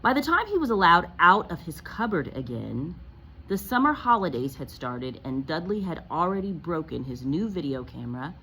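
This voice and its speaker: A woman teacher reading a children's novel aloud and explaining it to pupils in an expressive, instructional way. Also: deep voice, moderate pace, breathy edge, rough recording. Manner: expressive, asks questions and explains as to young pupils.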